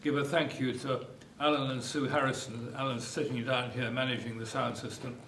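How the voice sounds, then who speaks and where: A man's voice: medium pitch, little pitch movement, normal speed, fairly bright tone. An older man giving an informal welcome speech as chairman, rambling a little and hesitantly repeating words. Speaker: club chairman. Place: echoey hotel meeting room. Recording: echoey room, distant mic, faint noise.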